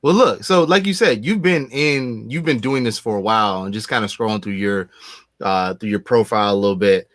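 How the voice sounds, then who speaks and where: A man's voice: on the low side, fairly quick, fairly bright tone, no echo, studio quality. A man in his thirties hosting a podcast, talking in a loose, joking way with many fillers. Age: 30s. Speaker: podcast host. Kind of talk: casual interview chat.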